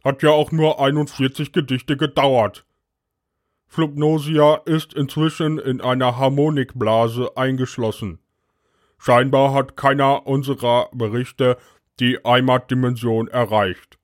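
A man playing an alien agent who files a logbook report in a matter-of-fact, deadpan manner. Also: mid-range voice, unhurried, no room sound, clean studio sound, natural voice. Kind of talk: scripted narration, audio logbook.

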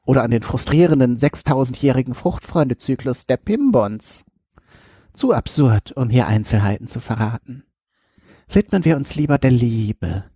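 A man playing a gentle, whimsical alien who narrates a diary in a playful, mock-scientific way. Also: medium pitch, lively intonation, normal speed, no echo, clean studio sound, close to an everyday voice. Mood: playful, fond. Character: Jolki, gentle whimsical alien diarist fond of love. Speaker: host.

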